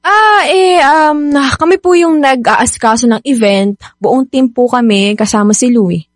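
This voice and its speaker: A woman's voice: high-pitched, a little slow, no room sound, clean studio sound. A woman with a Filipino accent playing a meek, dutiful young eldest daughter in a radio drama, hesitant and worn down. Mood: nervous, deferential. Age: young adult. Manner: hesitant, deferential. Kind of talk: radio drama acting in Tagalog.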